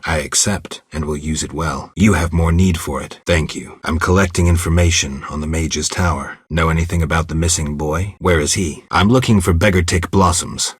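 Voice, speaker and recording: An actor playing a gruff, stoic monster hunter in a video game, with a low, gravelly voice and a terse, deadpan delivery. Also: male voice, dry recording, clean studio sound. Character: gruff, stoic male monster hunter, not entirely human. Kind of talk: video game dialogue acting.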